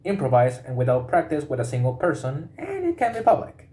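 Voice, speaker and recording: A man reads a prepared informational text aloud in a halting way, often breaking off in the middle of words and repeating them. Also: a little high, wildly swooping pitch, mellow.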